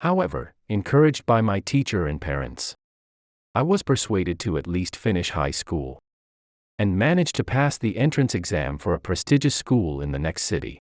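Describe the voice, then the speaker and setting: A man's voice: sits low, very animated, moderate pace, a little mellow, dry recording, studio quality. A man reading a scripted dramatic story as its narrator.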